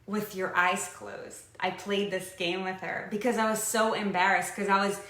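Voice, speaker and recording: Bright-voiced woman chatting candidly. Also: mid-range voice, flat delivery, moderate pace, roomy sound. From Israel. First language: not English. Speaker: co-host of a coaching show. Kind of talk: casual, explanatory chat.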